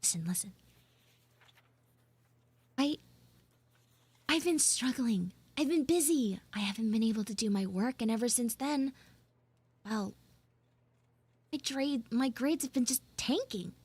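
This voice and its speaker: A woman live streaming an audio roleplay as a bossy, secretive tsundere schoolgirl, halting and teasing, slipping into hushed asides. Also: a little high, expressive, breathy edge, dry recording. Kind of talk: live in-character audio roleplay with chat banter.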